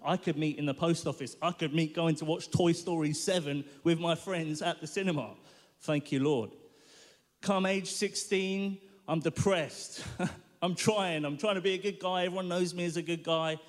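A man giving his testimony at a live worship event, animated and self-mocking as he tells his story. His voice sits a touch high for a man, and it carries through a big hall with a long reverb. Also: moderate pace. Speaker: guest speaker. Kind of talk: personal testimony sermon.

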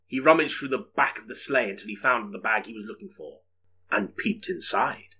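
A man's voice: lowish voice, moderate pace, pushed and bright, husky, poor audio. A man narrating an audiobook story in a dramatic, scripted storytelling style.

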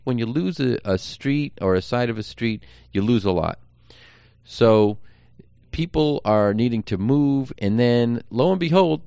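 An American man giving an informal campaign update as a podcast host and candidate, explaining things earnestly in short measured phrases. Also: lowish voice, darkish tone, clean tone, dry recording, highs cut off.

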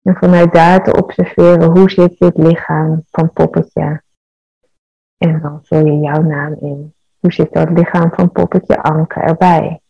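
A woman leading a guided meditation in a calm, measured, soothing manner. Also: low-pitched, gravelly, slightly rough, dry recording, muffled recording.

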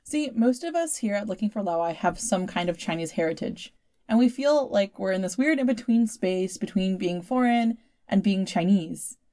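A woman hosting a podcast, speaking in a measured, conversational way. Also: mid-range voice, lively intonation, dark tone. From United States. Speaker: podcast host. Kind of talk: podcast narration and chat.

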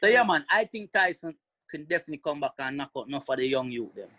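A man's voice: a little high, expressive, fast, bright, forceful tone. A man, co-hosting a podcast in playful casual banter. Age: 30s. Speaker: podcast co-host.

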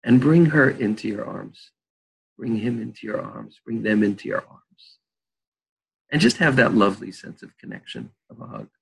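An older man, a therapist, leading a slow guided visualization and then chatting about his practice. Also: mid-range voice, creaky, husky, rough recording. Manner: slow soothing guided-meditation delivery.

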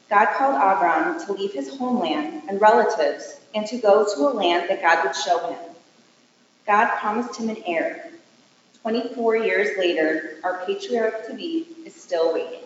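A woman serving as lector, reading scripture aloud in a measured, formal way. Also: mid-range voice. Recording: very echoey hall, dull, faint noise.

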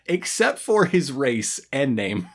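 A woman's voice: extremely low pitch, sing-song, slow, breathy edge. A woman co-hosting a podcast in casual, comedic recap chat, jokey and prone to puns and chuckling asides. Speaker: podcast co-host.

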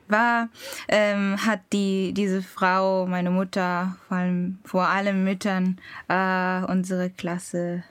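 Podcast co-host: young woman, unhurried and word-searching, giggly, recorded very dry. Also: medium pitch, clear, resonant tone, no room sound. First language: Japanese. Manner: halting, searching for words, giggly. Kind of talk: casual personal storytelling.

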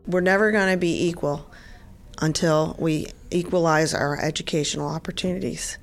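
A woman with a mid-pitched, gravelly voice speaks emphatically in an interview. Her tone carries strong conviction. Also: steady tone, moderate pace, faint music behind. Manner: emphatic, heartfelt. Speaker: admissions director. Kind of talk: interview answer.

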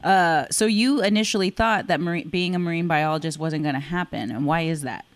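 A woman interviewing a guest in a relaxed conversation, encouraging and supportive as she asks questions. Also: fairly low pitch, a little fast.

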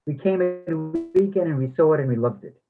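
A man reading as a friendly small business owner in a plain, measured way. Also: on the high side, animated, phone-quality sound, over music, close to an everyday voice. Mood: warm. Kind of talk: readers theatre monologue.

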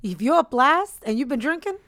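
A woman co-hosting a podcast, teasing playfully and telling quick anecdotes. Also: high voice, big pitch swings, a bit bright, no room sound, studio quality. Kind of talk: casual podcast chat.

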